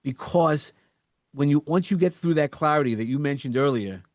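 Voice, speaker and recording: A man with a New York accent, a coach talking as a podcast guest, energetic and quick to repeat words and act out quotes. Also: on the high side, no echo, clean studio sound. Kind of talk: casual interview chat.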